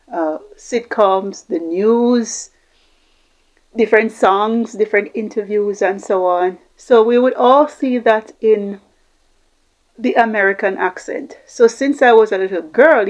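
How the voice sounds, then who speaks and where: A woman's voice: medium pitch, a little mellow, highs cut off. A woman giving an informal explanatory talk, reflective and repeating phrases for emphasis. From Jamaica, Caribbean. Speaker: host giving communication tips.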